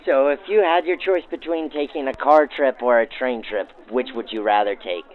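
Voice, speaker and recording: A male interviewer with a medium-pitched voice puts questions to guests. The sound is narrowed by a vintage radio filter. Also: normal speed. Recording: band-limited old-radio sound.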